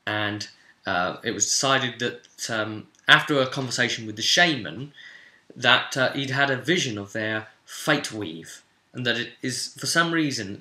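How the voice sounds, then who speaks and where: Bright-voiced man in an echoey room. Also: medium pitch. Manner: rambling, conversational asides. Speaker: game master recapping a tabletop role-playing session. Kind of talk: casual spoken story recap, vlog. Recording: roomy, echoing voice recording.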